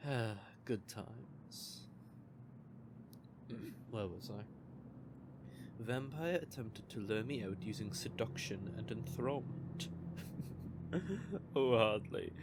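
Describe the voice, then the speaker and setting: A man's voice: lowish voice, a little hiss or hum. A man playing a smug, teasing male vampire who is playfully menacing, in an audio roleplay.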